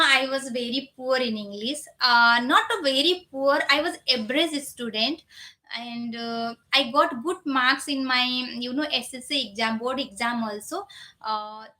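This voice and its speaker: A woman's voice: on the high side, a little slow, bright, forceful tone. A woman in her thirties, a native Nepali speaker, chatting casually as a live stream host in non-native English with loose grammar and frequent filler phrases. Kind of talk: casual live chat, answering viewers.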